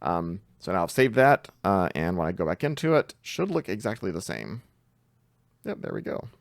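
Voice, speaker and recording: A man's voice: sits low, very animated, normal speed, creaky, no echo, clean studio sound. A man giving a casual coding tutorial, explaining things step by step in an informal way. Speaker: programming tutor.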